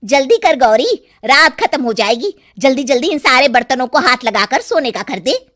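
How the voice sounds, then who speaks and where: Cartoon role: grasping middle-aged mother-in-law played by a woman, high voice, pushy and scolding. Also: sing-song, normal speed, fairly bright tone. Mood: bossy, scolding. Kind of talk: cartoon story acting.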